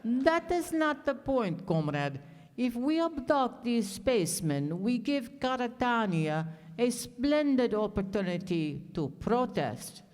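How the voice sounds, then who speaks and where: A woman acting a stern, dogmatic onion general in a satirical radio play, declaiming pompously and deliberately. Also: fairly high pitch, sing-song, a little mellow. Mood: grave, dogmatic. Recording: echoey hall stage performance.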